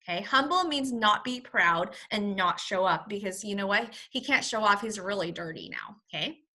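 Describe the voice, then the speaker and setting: A woman's voice: medium pitch, animated, normal speed, sharp tone. A woman teacher reading a story aloud to young children, expressively, with simple word explanations. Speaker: first grade teacher.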